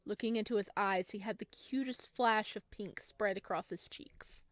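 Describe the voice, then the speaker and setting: A woman's voice: medium pitch, moderate pace, no room sound. A woman reading a visual novel aloud and chatting to viewers, giggling often. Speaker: game streamer.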